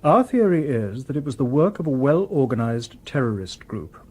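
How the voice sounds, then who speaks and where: An actor playing a calm, courteous humanoid robot detective in a radio drama, with formal, precise phrasing. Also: male voice, medium pitch, moderate pace, breathy edge.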